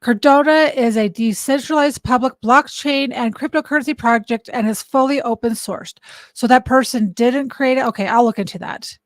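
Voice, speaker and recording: A high-pitched woman hosts a casual livestream and talks things through as she searches for information. She corrects herself often and stops mid-thought. Her voice is close and dry, with no room sound. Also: moderate pace, no room sound. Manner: thinks aloud, stops to look things up, self-correcting. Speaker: livestream host.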